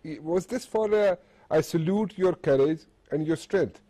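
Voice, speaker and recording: A man interviewing someone by telephone, putting questions in halting, hesitant phrasing. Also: fairly high pitch, mellow, dry recording.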